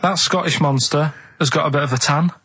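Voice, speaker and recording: A man reading out quiz clues and chatting casually on a radio show, in a halting, matter-of-fact way. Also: fairly high pitch, pushed and bright, slightly rough. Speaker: radio show regular running a quiz feature. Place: radio studio.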